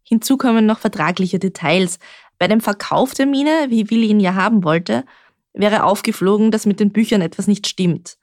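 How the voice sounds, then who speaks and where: A woman reporter hosting a true-crime podcast, explaining the case in a measured way. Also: mid-range voice, darkish tone, no echo, studio quality. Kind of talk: scripted true-crime narration.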